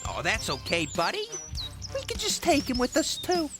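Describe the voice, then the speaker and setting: A woman's voice: fairly high pitch, huge pitch swings, moderate pace, music in the background. A woman playing a sly, exasperated male orc gang boss in an audio drama, drawling with sardonic condescension. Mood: smug.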